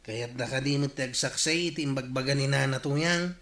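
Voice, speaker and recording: A man's voice: medium pitch, normal speed, a bit bright, echoey room, natural voice. A man playing a teasing, chatty villager with a Filipino accent in a radio comedy drama. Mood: gossipy.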